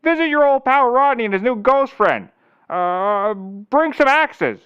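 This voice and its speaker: A man's voice: extremely high pitch, very animated, measured pace, smooth, lo-fi sound, exaggerated voice. A man playing a deluded, addled slacker detective in a comedy audio drama, rambling and stammering erratically. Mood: flustered, evasive.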